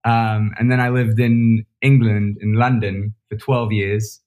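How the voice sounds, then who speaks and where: A man's voice: fairly low pitch, little pitch movement, a little slow, darkish tone. A man whose first language is French, who grew up in France and speaks English with an American accent, chatting casually and laughing easily. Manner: laid-back, laughs easily, swears casually. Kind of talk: casual chat.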